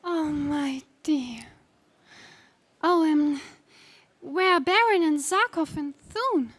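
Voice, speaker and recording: A woman's voice: high voice, slow, fairly bright tone, no room sound. A woman playing an adoring, easily frightened damsel in distress, gushing melodramatically in 1930s radio serial style.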